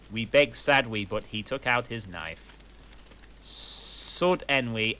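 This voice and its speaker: A man reading a badly written story aloud in a slow, deliberate way, mock-dramatic and sarcastic. He reads out the typos and punctuation with dry mockery, in a voice of everyday middle pitch. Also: fairly bright tone. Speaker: reader of a fan story.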